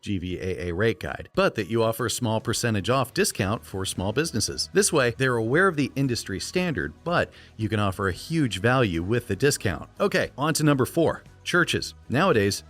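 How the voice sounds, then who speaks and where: An American man with a trained radio voice, coaching viewers in a clear, emphatic, scripted presentational style. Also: lowish voice, a bit bright. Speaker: voiceover coach and video host. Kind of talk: scripted instructional narration to viewers.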